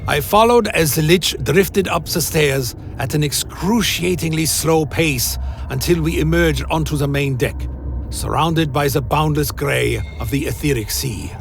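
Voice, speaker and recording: Man acting a gravelly cursed dark-fantasy antihero over music. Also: fairly high pitch, a little slow. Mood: brooding. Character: Alaric the Damned, cursed blood-bound male servant of a lich. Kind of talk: dramatic first-person radio play narration.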